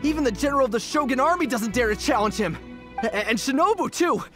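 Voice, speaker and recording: A woman's voice: high-pitched, lively intonation, fast talker, a bit bright. A woman voicing a timid, boastful young boy in a video game, flustered and stammering. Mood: defiant, boastful. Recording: clear music behind the voice.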